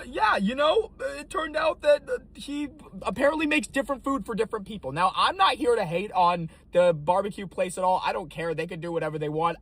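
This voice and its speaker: A young food reviewer from Texas speaks to camera with a high, husky voice. His pitch swings widely as he talks. He sounds defensive and exasperated. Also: sharp tone. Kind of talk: casual vlog to camera.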